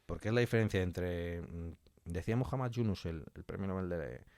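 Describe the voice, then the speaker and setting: Low-voiced Spanish man in conversation, dry sound. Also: brisk, a little mellow, dry recording. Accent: Spanish (Spain). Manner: explains with rhetorical questions and imagined quotes. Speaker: interview guest, audio fiction writer. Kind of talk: conversational interview, giving opinions.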